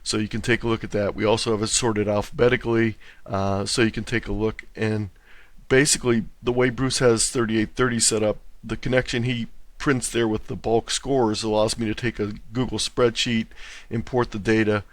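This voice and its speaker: Guy with lowish voice explaining stuff step by step in an interview, very dry sound. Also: flat delivery, moderate pace, no room sound. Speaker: interview guest, contest organizer.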